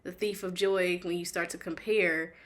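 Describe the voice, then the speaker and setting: A woman's voice: on the low side, steady tone, normal speed. A woman hosting an interview, asking curious questions and thinking aloud in a chatty way. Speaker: interview host. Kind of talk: casual interview conversation.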